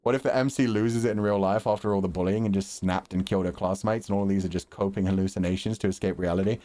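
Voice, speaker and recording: A man's voice: low-pitched, fairly even intonation, warm tone. A man livestreaming a visual novel, reading it aloud as dramatic narration and chatting casually about it. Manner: measured, deliberate storytelling delivery when reading. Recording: long reverb, music behind. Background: music.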